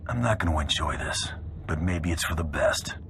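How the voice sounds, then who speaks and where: An actor playing a cool, tough bounty hunter in a video game, laconic, calm and hard-boiled. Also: male voice, extremely low pitch, normal speed, creaky, noisy background, exaggerated voice. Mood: cold. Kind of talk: video game character acting. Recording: music behind the voice.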